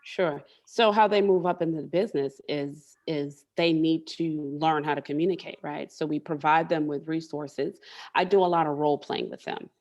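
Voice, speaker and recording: Low-voiced woman explaining earnestly. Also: fairly even intonation, moderate pace, darkish tone. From Southern United States. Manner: earnest, explanatory, repeats words while thinking. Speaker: interview guest, tech leader and coach. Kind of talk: candid interview conversation.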